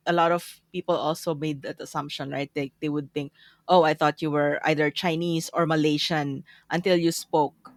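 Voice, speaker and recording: A woman with a Filipino accent, chatting as a podcast guest over a remote recording, often tagging her points with "right?" and a soft laugh. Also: low voice, no room sound. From Philippines. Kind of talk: casual chat. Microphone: remote call recording.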